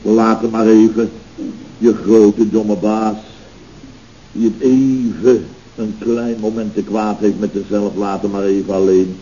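A man plays a pompous boss of a building firm in a vintage radio comedy, wallowing melodramatically in self-pity and bluster. His tone is soft-edged and mellow. The old recording is muffled, with a clear layer of noise. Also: on the low side, flat delivery, measured pace, lightly acted. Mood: maudlin. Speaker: actor in a radio comedy serial. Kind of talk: 1970s Dutch radio comedy acting.

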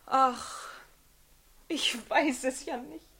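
Breathy, anxious noblewoman. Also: sits high, very slow, bright, forceful tone, heavily acted. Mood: evasive. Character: pleading, anxious noblewoman, tender and evasive. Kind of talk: German radio play acting.

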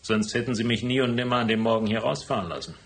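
An actor playing a shrewd, unflappable private detective in a radio crime drama, speaking in a dry, deliberate way. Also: male voice, mid-range voice, lightly acted. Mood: calm, explaining. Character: cool, laconic hardboiled male private detective, shrewd and unflappable. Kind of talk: German radio crime drama acting with first-person narration.

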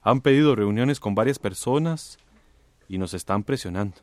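An actor in a radio drama playing a discreet congressman, formal and measured, with an insinuating way of talking. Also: lowish voice, expressive, darkish tone, dry recording, lightly acted. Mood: conspiratorial. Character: male congressman, discreet, smooth, veiledly threatening political schemer. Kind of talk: Spanish-language radio drama acting.

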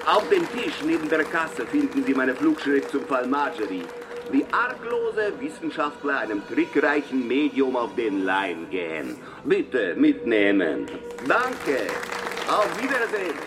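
Sneering stage magician, really swoopy delivery, crowd all around him. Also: male voice, medium pitch, very animated, a little slow, noisy background. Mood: mocking. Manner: theatrical, sneering stage patter. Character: mocking male showman magician addressing a crowd. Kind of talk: radio drama stage speech. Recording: music and crowd behind the voice. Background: music and a crowd.

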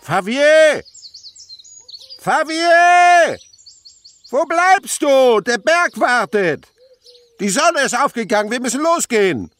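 An actor playing an impatient, grumbling naturalist and mountain climber in a German radio drama. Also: male voice, very high-pitched, very animated, takes it slowly, pauses often, fairly bright tone, heavily acted. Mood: impatient, calling out. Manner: grumbling, commanding, theatrical.

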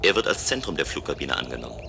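An actor playing a restless, playful man of a future city in a German radio play, with a measured, musing delivery. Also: very deep voice, sing-song, gravelly, noisy background, full character voice. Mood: playful, competitive.

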